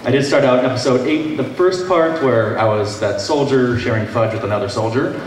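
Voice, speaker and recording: A man's voice: medium pitch, brisk. A man speaking as a voice actor on a convention panel, casually introducing his roles. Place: large convention panel room. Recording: echoey room, distant, noisy.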